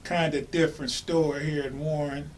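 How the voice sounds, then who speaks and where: A man's voice: on the high side, level tone, moderate pace. A man hosting an interview with casual questions, recorded at a distance with background noise. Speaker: interview host.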